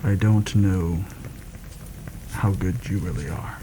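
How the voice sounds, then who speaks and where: A man playing a seasoned fantasy assassin in a soft-spoken ASMR roleplay, measured and deliberate, wary and proud. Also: deep voice, noisy background. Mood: focused, businesslike.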